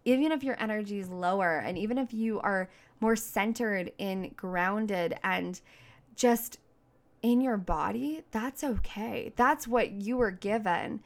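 Woman podcast host doing a motivational talk, super emphatic n outgoing, slangy, medium pitched voice. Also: a little slow. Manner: outgoing, self-described big voice.

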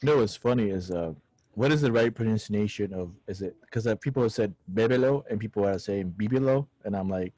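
A man's voice: on the low side, fairly quick, darkish tone, dry recording. A man co-hosting a casual sports podcast, hesitant and joking, with many fillers. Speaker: podcast co-host.

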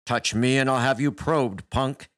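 A man voicing a weary, touchy male space alien who talks like a growling tough guy, deep and gravelly. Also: steady tone, unhurried, a bit bright, natural voice. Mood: scornful.

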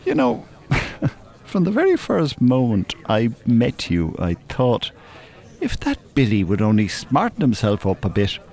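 A man's voice: mid-range voice, wildly swooping pitch, measured pace, a little mellow. An actor playing a sardonic, well-spoken older Dublin man, wordy and mock-formal in a radio drama.

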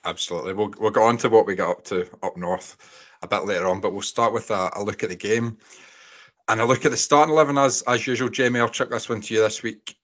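A man's voice: fairly low pitch, fairly even intonation, fast, a bit bright. A man hosting a casual football podcast, putting friendly questions to his panel. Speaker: podcast host. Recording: dull lo-fi podcast audio.

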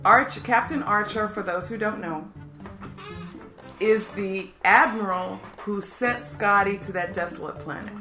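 A woman's voice: medium pitch, unhurried, sharp tone, soft background music. Woman podcast host in an echoey room. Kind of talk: casual podcast banter and announcing.

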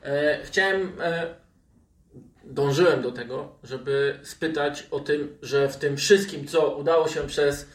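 A man with a slightly higher-pitched voice hosts a football discussion. He sounds a little away from the microphone in a roomy space. He pauses and steers the talk with questions. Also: far from the mic. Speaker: podcast host.

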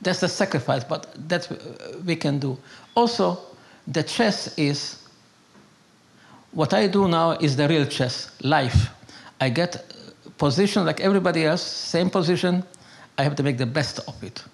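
An older man from Hungary with a Hungarian accent, a native Hungarian speaker, telling his story in halting, broken English with emphatic repetition. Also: on the high side, lively intonation, vocal fry. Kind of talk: unscripted conversational storytelling and philosophizing.

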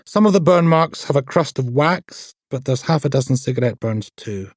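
A man's voice: mid-range voice, expressive, moderate pace, no echo, clean studio sound, voice pushed up, lightly acted. A man voicing a precise, humourless female pathologist in an audiobook, formal and clinical.

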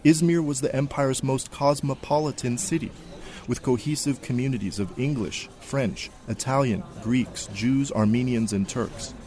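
A man narrates a radio news report with a measured, steady delivery. His voice sits in a middle register. He reads the script evenly. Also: medium pitch.

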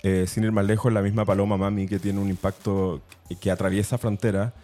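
A man's voice: on the low side, little pitch movement, a little fast, dark tone. A man with a Chilean accent co-hosting a podcast in a chatty, slangy, enthusiastic way. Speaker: podcast co-host. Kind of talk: casual podcast chat.

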